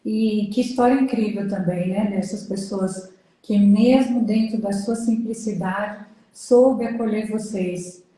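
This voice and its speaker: A woman's voice: mid-range voice, a little slow, warm tone. An older Brazilian woman interviewing over a video call, encouraging, with frequent affirming murmurs. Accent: Brazilian Portuguese. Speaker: interviewer, retired judge and lawyer. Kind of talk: conversational interview. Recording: very echoey room.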